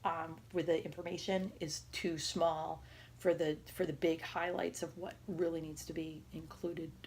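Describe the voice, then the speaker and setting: A woman around forty speaks in a low, gravelly voice with a noticeable rasp. She talks informally to her viewers as a video diarist, rambling and pausing as she finds her way through each thought. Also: level tone, a little mellow, slight background noise. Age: 30s or 40s. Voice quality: raspy. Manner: halting, rambling, conversational. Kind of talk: casual personal health vlog.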